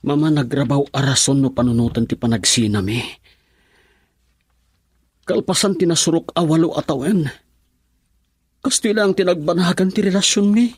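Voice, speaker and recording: A man's voice: on the high side, moderate pace, pauses often, husky, dry recording, clean studio sound. A man with an Ilocano accent playing a heartbroken young husband in a radio drama. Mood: pleading.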